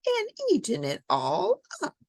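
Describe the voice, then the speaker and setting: A woman's voice: high-pitched, huge pitch swings, measured pace, creaky, darkish tone, no echo, clean studio sound, pitched above the performer's own voice, full character voice. A woman voicing a small, upset baby bear in a storybook reading.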